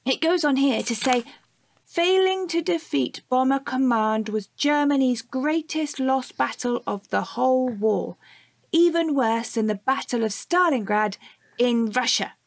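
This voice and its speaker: A woman playing a bright, earnest young child in an audio drama, eagerly and precisely recounting things to a parent. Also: high voice, expressive, unhurried, dry recording.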